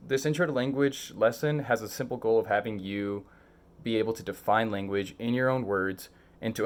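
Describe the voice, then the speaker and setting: Earnest young man, low voice, teaching. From Mexico. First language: Spanish. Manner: earnest, frequent uh fillers and self-corrections. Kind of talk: online lesson introduction.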